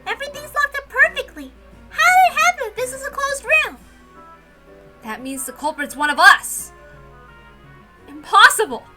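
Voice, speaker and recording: A woman playing an alarmed, insistent young murder suspect in a visual novel reading, loud and emphatic. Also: extremely high pitch, very animated, moderate pace, pauses often, faint music behind, voice pushed up, full character voice.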